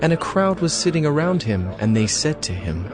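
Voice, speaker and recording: A man narrating scripture as a solemn story, with clear phrasing, in a dramatized audio reading. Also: on the high side, big pitch swings, normal speed. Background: music.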